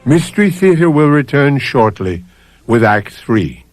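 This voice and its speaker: A man hosting a mystery radio show with scripted dramatic narration, deliberate and suspenseful, addressing the listener directly. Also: medium pitch, sing-song, no echo. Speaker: host. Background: music.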